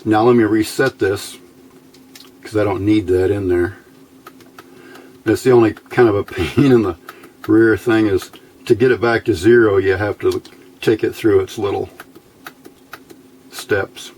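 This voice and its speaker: A man's voice: fairly low pitch, steady tone, unhurried, pauses often, darkish tone, a little hiss or hum. A man giving a casual hands-on demonstration as an electronics hobbyist, talking in a folksy, informal, rambling way.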